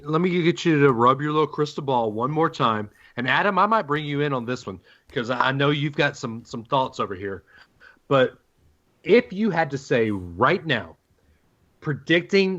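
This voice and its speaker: A man's voice: medium pitch, fairly bright tone. Male sports show host, dull lo-fi audio. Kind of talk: conversational questioning on a sports talk show. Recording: dull, narrow-band lo-fi sound.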